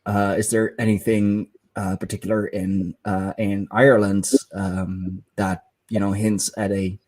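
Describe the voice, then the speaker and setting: A podcast host, speaking in a halting way and searching for words. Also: male voice, on the low side, flat delivery, a little mellow. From Netherlands. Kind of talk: casual interview chat.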